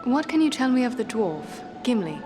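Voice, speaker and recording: A woman's voice: a little high, very animated, moderate pace, music in the background. A woman playing an earnest, dignified elf adventurer in a fantasy game, speaking in a formal, composed way. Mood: curious. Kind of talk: fantasy game dialogue acting.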